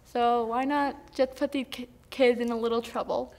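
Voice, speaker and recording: A woman's voice: on the high side, level tone, normal speed, darkish tone. A teenage young woman from Colorado talking casually in an interview. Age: teens. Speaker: student playwright.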